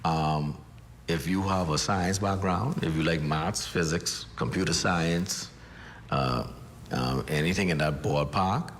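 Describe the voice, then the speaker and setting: A man, a meteorologist, answering a reporter with an earnest, halting appeal. His voice is very deep. Faint ambience sits behind him. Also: a little hiss or hum. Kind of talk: news interview soundbite.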